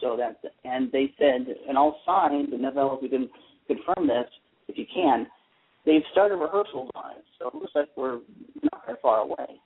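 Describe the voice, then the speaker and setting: A man chatting casually and wryly as an opinionated panelist on a fan talk show, with a husky voice. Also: mid-range voice, animated, normal speed. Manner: opinionated, wry. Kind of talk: casual soap opera fan chat. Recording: narrow tinny phone-line sound.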